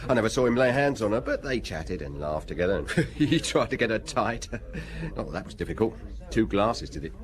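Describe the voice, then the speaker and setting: A man's voice: fairly low pitch, very animated, fairly quick, crowd noise. An actor playing a friendly, gossipy bartender in a radio drama, chuckling as he talks. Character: chatty, gossipy male bartender. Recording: crowded bar murmur behind.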